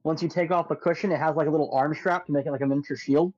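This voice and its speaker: A man's voice: fairly high pitch, steady tone, a little mellow. A young man telling stories in a casual group chat. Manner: fast, storytelling. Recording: dull, lo-fi mic.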